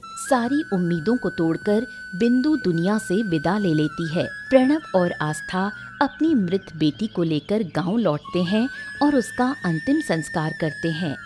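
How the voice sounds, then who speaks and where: Animated female storyteller over music. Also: lowish voice. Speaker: narrator. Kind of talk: scripted dramatic story narration.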